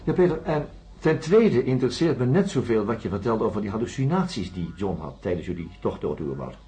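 A man's voice: on the low side, big pitch swings, brisk, warm tone. An actor playing a persistent male doctor in a radio play, probing with questions. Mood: questioning.

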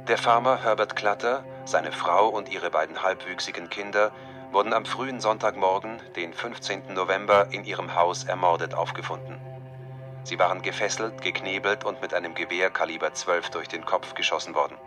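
A man with a very deep voice delivers a formal, factual scripted report. Music plays clearly behind him. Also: steady tone, moderate pace. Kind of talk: scripted news-report narration.

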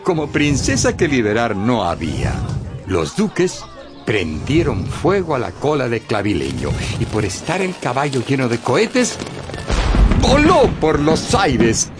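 Animated male radio narrator. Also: fairly high pitch. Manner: expressive storyteller. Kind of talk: scripted storytelling narration for radio.

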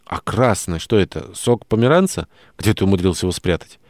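A man's voice: low-pitched, lively intonation, a little fast, a little mellow, no echo, studio quality. A man voicing an earnest, enthusiastic and slightly didactic male companion in an audiobook. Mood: excited admiration. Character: earnest, enthusiastic, mildly preachy male friend.

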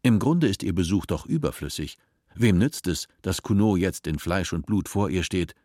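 Deep-voiced male narrator. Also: animated, moderate pace, warm tone, dry recording. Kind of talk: scripted narration. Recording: dry studio sound.

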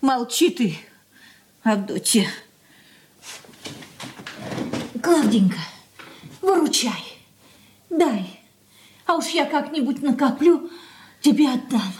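A woman's voice: sits high, animated, slow, pauses often, darkish tone, slight background noise. An actress in a radio drama playing an anxious, submissive older mother, plaintive and pleading. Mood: hushed pleading. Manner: plaintive lamenting with folksy diminutives. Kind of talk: Russian stage-style radio drama acting.

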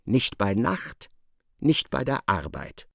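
A man acting as the sardonic narrator of a literary radio play, articulate and expressive. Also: medium pitch, takes it slowly, creaky, no room sound, clean studio sound, heavily acted. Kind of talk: dramatised scripted narration.

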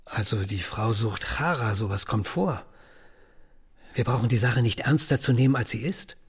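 An actor playing a confident, dismissive male office boss in a radio drama, with a husky voice. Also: mid-range voice, moderate pace. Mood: reassuring.

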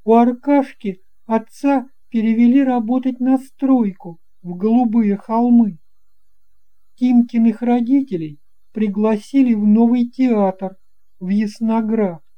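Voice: medium pitch, fairly even intonation, slow pace, highs cut off, pitched above the performer's own voice, close to an everyday voice. A performer voicing an earnest young boy in a children's audio story, speaking in an emphatic, childlike and insistent way. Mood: upset, regretful. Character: earnest young boy recalling lost friends.

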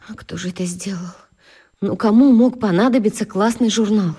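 An actress playing an anxious, soft-hearted schoolteacher who questions herself in agitated short bursts in a children's radio drama. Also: female voice, mid-range voice, moderate pace, husky, natural voice. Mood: worried, doubtful.

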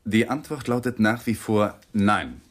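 An actor playing a cornered, defensive young lawyer in a radio drama, agitated and hesitant, at times breathy. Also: male voice, fairly low pitch, a little slow. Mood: curt refusal. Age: young adult. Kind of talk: 1980s German radio drama acting.

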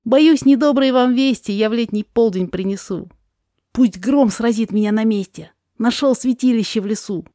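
A woman playing a humble male mountain guide and herb gatherer in a verse drama, telling things in a measured storyteller's way. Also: fairly high pitch, warm tone, no echo, clean studio sound, close to an everyday voice. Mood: grave, regretful. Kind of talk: rhymed verse drama reading.

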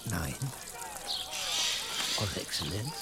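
An actor playing a nervous, obsequious cleric in a medieval radio drama, deferential, hesitant and stammering under pressure. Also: male voice, low-pitched, lively intonation, extremely slow, very noisy, full character voice. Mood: puzzled, deferential. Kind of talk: German radio drama acting in a medieval setting.

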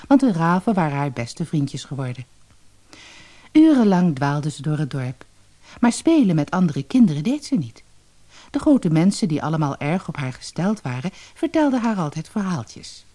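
A storyteller narrating a fairy-tale audiobook in an expressive way. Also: medium pitch, normal speed. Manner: expressive storytelling, acting out dialogue.

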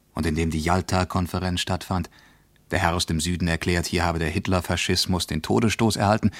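An actor playing a reflective, disenchanted traveller reading his diary in a radio play, measured and sober. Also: deep voice, no room sound, close to an everyday voice.

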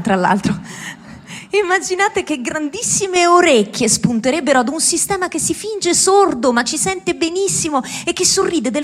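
A woman's voice: medium pitch, darkish tone, slightly rough. A woman delivering an impassioned satirical monologue at a live event, with rhetorical questions, emphatic repetition and sardonic swearing. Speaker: speaker at a benefit event for Gaza. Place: live public event, speaking to an audience.

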